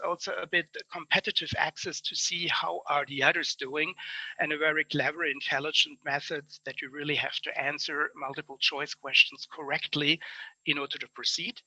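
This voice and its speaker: A German man with a German accent recaps an online conference in eager, piled-up phrases. His voice sits slightly high and rings very bright. The sound is dry and close, with no room around it. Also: dry recording, poor audio. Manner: enthusiastic, lists things in chains of near-synonyms. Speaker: conference speaker summing up presentations. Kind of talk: spoken conference summary. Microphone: online conference call.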